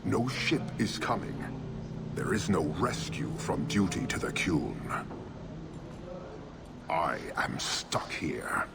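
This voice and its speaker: Very deep, gravelly giant warrior commander. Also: male voice, sing-song, takes it slowly, pauses often, very noisy, full character voice. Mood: stern. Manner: grave, formal, declamatory. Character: stern, proud horned giant military commander, contemptuous and dutiful. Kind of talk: video game voice acting.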